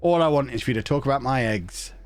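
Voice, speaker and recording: A man's voice: medium pitch, fast talker, no room sound, soft background music. A man chatting casually on a live stream, joking and reading out viewer messages while he plays a football management game, with a slightly blocked nose. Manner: chatty, joking, reads chat messages aloud, hums to himself.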